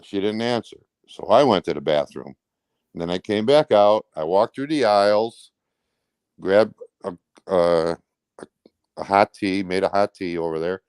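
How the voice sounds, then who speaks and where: Low, smooth-voiced man telling his story. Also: a little slow, darkish tone, no echo. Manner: rambling, blunt, colloquial with swearing. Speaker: interview guest; truck driver. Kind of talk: casual recounting of personal events.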